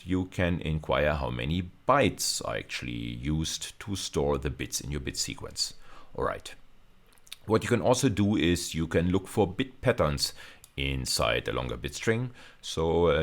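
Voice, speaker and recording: A man giving an explanatory video lecture as a university lecturer, often repeating and rephrasing his phrases as he explains. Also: extremely low pitch.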